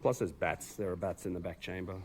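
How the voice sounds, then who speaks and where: A man hosting a tour of old stone ruins, chatting casually as he points things out, his voice hoarse from talking too much. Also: low voice, fairly even intonation, a little fast, a little hiss or hum. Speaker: host on a site tour.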